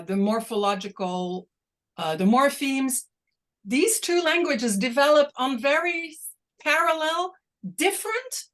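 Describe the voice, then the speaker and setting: A woman whose first language is Dutch, speaking deliberately and a little slowly as an invited academic answering questions on a video call. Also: fairly high pitch, a bit bright. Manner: deliberate, slightly slowed and exaggerated. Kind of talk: online academic talk, Q&A.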